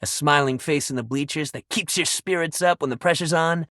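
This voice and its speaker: An actor playing a sports-obsessed, warm-hearted young jock pal in a video game, with exuberant, slangy bro talk. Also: male voice, fairly high pitch, a little fast, no echo, clean studio sound. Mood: friendly, cheerful. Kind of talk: video game character acting.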